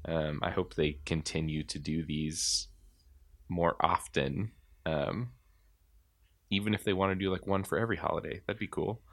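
A man's voice: sits low, fairly even intonation, moderate pace. A man hosting a podcast in casual chat, talking in a rambling, hesitant way. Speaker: podcast host.

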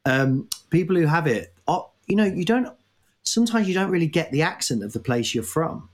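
A man's voice: fairly high pitch, sing-song, normal speed. A tired man from Nottingham with a Nottingham accent, hosting a casual comedy podcast chat and rambling in a self-mocking way. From Nottingham, England. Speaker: podcast host.

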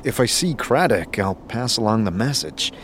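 A man playing a wary, hesitant wanderer in a fantasy audio drama, speaking in a reserved, halting way. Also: lively intonation, fairly bright tone. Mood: guarded, helpful. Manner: halting, low-key, reserved. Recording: clear background noise.

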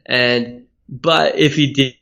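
A man's voice: mid-range voice, fairly even intonation, slow pace, gravelly, pushed and bright. Man, from Hawaii, interview guest, speaking coach, earnest, reflective, mid-range voice. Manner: earnest, explains ideas at length. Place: Australia.

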